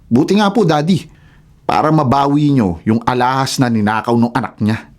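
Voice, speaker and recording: A man's voice: mid-range voice, moderate pace, close to an everyday voice. A man acting as an indignant, righteous husband in a Filipino radio drama. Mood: respectful.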